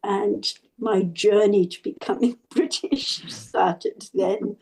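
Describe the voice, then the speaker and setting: A woman from Zimbabwe, a radio interview guest, earnestly recalling her childhood in measured speech. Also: medium pitch, creaky, a little mellow. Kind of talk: interview storytelling.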